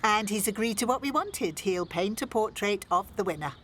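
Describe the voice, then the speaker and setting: A woman's voice: mid-range voice, lively intonation, moderate pace, fairly bright tone, a little hiss or hum, lightly acted. An actress playing a haughty, one-upping committee lady in a radio soap. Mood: smug, territorial.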